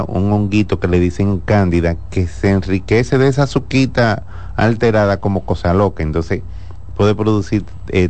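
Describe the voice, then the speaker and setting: A man, a gynecologist who answers listeners on a radio health show in a calm, explanatory way. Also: sits low. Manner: calm, explanatory, laughs easily.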